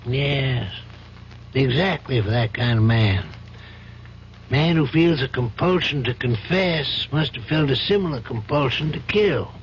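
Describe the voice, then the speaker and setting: An actor playing an older, compassionate judge in an old-time radio drama, reasoning in a measured, probing, paternal manner. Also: male voice, medium pitch, lively intonation, natural voice. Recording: dull, faintly noisy old radio recording.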